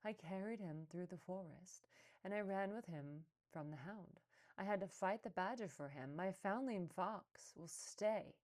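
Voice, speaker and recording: Lady reading storybook mama fox, lowish mellow voice, caring but wont be argued with. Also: unhurried, lightly acted. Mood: firm, defiant. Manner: soft-spoken, resolute. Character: protective mother fox, warm and firm. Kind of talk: storybook read-aloud.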